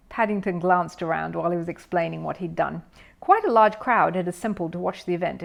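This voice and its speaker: A woman narrator reading a children's story aloud in an expressive style. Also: on the low side, gravelly. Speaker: audiobook narrator.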